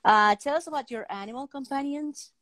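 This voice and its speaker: A woman's voice: high-pitched, fairly quick, a bit bright. A middle-aged woman with a foreign accent hosting a casual interview over a video call. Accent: foreign-accented English. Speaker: talk show host. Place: indoor room at home, on a video call.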